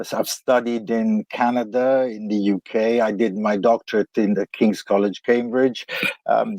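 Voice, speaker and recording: A man's voice: lowish voice, a little mellow, no room sound. A man from Lebanon, a guest economist, answering interview questions in a reflective, hesitant way. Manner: hesitant and reflective, with many fillers and restarts.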